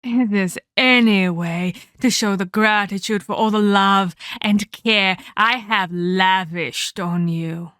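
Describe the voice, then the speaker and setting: A woman's voice: medium pitch, a bit bright, smooth, no echo, studio quality. A woman voicing a menacing female vampire captor in a scripted ASMR roleplay, theatrical and mockingly sweet as she scolds and condescends. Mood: angry scolding. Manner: theatrical, mock-polite, slow deliberate scolding.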